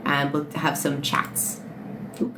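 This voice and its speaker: Irish woman in her late 20s on a phone livestream, lowish voice, blunt and sweary, noisy background. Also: flat delivery, slow. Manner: blunt, swears freely, answers chat questions. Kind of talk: casual livestream chat with viewers.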